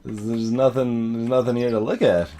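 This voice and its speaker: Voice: mid-range voice, fairly even intonation, normal speed, mellow, slight background noise. A let's play host giving casual, off-the-cuff commentary. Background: game music.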